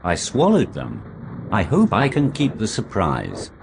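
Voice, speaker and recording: Deep-voiced deadpan man, noisy recording. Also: a little slow, gravelly. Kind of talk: deadpan absurd scripted monologue.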